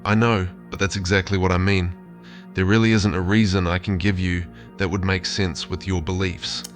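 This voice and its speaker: Low-voiced man as formal AI therapist bot. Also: level tone, moderate pace, soft background music, natural voice. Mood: flat assurance. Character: AI chatbot posing as a male licensed therapist. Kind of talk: reading out chatbot messages.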